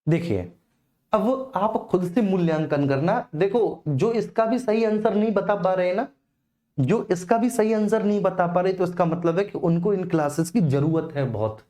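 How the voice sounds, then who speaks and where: A woman's voice: on the low side, moderate pace, dark tone. A woman giving an informal exam-prep lecture as a coaching teacher, repeating key phrases for emphasis and often checking in with students.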